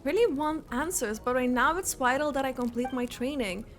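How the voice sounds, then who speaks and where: A woman game streamer giving casual reaction commentary, halting as she thinks aloud. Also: sits high, animated. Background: game music.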